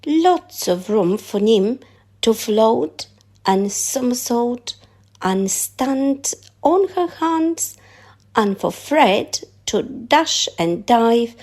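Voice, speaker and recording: A woman narrating a children's story aloud in an expressive read-aloud style. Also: fairly high pitch, vocal fry.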